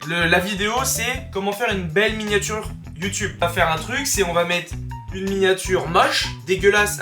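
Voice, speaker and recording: A young man with a cold, talking viewers through a software tutorial in a chatty, joking way full of crude slang. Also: high-pitched. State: has a cold. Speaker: YouTuber teaching software. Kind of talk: casual screen-recorded tutorial. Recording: roomy room with background music.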